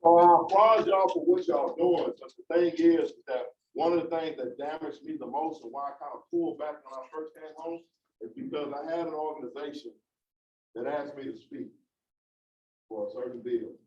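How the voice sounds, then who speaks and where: A man's voice: on the high side, moderate pace, a little mellow. A man speaking emphatically at a community panel, with preacher-like repetition. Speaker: formerly incarcerated community speaker. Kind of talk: impassioned panel remarks. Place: large meeting room. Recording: roomy, distant, dull audience recording.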